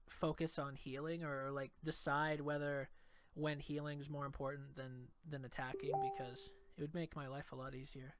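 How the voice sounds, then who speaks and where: A man's voice: a little high, smooth, no echo. Stuffy-nosed young man narrating gameplay. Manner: laid-back, rambling, trails off quietly. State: stuffed up from a cold. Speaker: gameplay video creator. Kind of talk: casual gameplay commentary. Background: game music.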